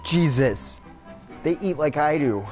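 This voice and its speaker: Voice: mid-range voice, lively intonation, slow. A game streamer blurting offhand, swearing reactions over gameplay. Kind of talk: casual commentary over gameplay. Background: game music.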